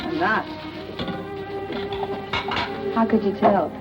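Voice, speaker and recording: Actress as a sardonic, flirty 1940s radio dame. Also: female voice, medium pitch, brisk, lightly acted. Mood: flirtatious, probing. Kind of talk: 1940s radio thriller acting. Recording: dull old radio recording, loud music.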